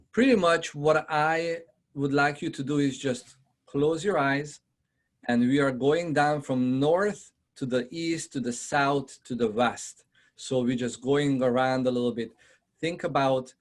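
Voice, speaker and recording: A man from Hungary with a Hungarian accent, giving a conversational presentation on a video call, often pausing with fillers and asking his audience questions. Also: medium pitch. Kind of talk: online presentation to realtors.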